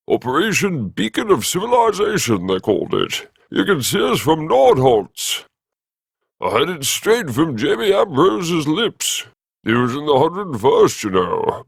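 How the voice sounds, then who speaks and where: An audiobook figure of a gleefully nostalgic old gentleman of the university. He is pompous and exclamatory, his voice pushed high and loud, leaping around in pitch. The recording is close and dry. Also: gravelly, breathy edge, no room sound, heavily acted. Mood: confiding, excited. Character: blustering, booming old male university grandee, gleefully nostalgic.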